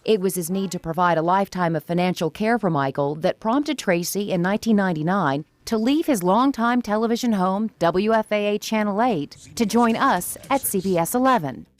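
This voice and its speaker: A woman's voice: mid-range voice, fairly quick, few pauses, no echo. Casting: female TV anchor in middle age, mid-range voice, scripted news feature delivery.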